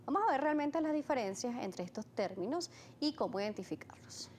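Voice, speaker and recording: A woman hosting a health interview, introducing her guest and asking clear, orderly questions. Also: high voice, lively intonation, fairly quick, a little mellow, a little hiss or hum. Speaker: host.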